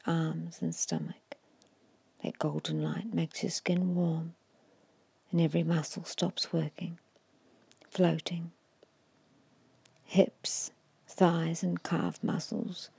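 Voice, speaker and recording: Woman doing asmr hypnosis, super breathy whisper w some crackly fry, slow n soothing, gives gentle instructions. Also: lowish voice, no echo. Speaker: hypnotist guiding a meditation. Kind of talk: ASMR guided hypnosis meditation.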